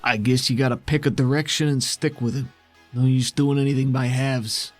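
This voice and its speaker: A man's voice: mid-range voice, fairly even intonation, moderate pace, soft background music, lightly acted. A man voicing a mocking phantom tormentor in a tabletop game, needling with scornful questions. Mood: resigned.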